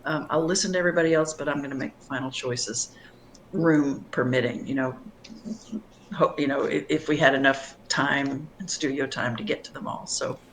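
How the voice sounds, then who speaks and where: A middle-aged woman singer-songwriter answering interview questions in a thoughtful, reflective way, often pausing and restarting. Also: extremely low pitch, steady tone, slight background noise, low-quality recording.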